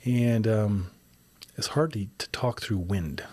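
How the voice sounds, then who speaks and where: Raspy-voiced man hosting a podcast. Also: lowish voice, slow pace, dark tone. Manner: rambling, upbeat, self-correcting. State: raspy voice from shooting in wind. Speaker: podcast host. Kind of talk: casual solo podcast update.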